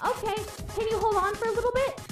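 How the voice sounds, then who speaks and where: A woman's voice: extremely high pitch, steady tone, fast talker. A woman voicing a perky, unsure girlish young woman in a game fan dub. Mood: perky, eager. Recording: roomy echo with music behind.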